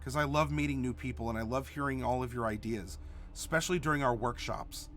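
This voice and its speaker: A man with a cold, stuffy and a little hoarse, hosting a live stream in a rambling, casual way with many restarts. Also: medium pitch, a little fast, fairly bright tone, slight background noise. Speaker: stream host.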